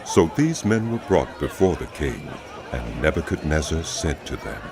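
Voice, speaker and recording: A man's voice: a bass voice, very animated, normal speed, crowd noise. A man narrating a dramatized audio Bible with solemn, emphatic storytelling.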